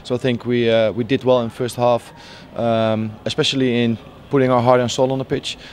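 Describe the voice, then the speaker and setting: A man in his forties, a football coach, answering post-match interview questions outdoors by the pitch, halting with many fillers and restarts. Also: flat delivery, slight background noise. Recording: faint outdoor stadium ambience.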